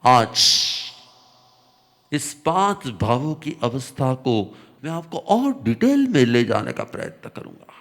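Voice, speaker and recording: Slow, slightly hoarse spiritual teacher man. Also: medium pitch, very animated. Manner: slow, repetitive explaining with emphatic rises. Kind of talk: religious discourse.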